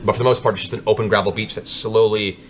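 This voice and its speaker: A man's voice: on the low side, steady tone, very fast. A man narrating a tabletop fantasy game as game master, in a descriptive, dramatic storytelling manner. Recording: music playing under the voice. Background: background music.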